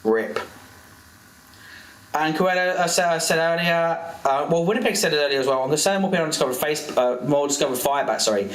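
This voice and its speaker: A man's voice: a little high, fairly quick, echoey room, low-quality recording. An exasperated man ranting as a livestreamer, swearing constantly. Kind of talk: heated livestream rant.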